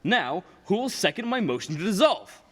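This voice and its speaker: Young man acting as a scheming old tycoon, cold, pompous, condescending, radio play style, raised pitch, reverberant hall. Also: very animated, normal speed, background noise. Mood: scornful, condescending. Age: young adult. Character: cold, scheming, haughty old rich businessman. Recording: echoey hall with long reverb.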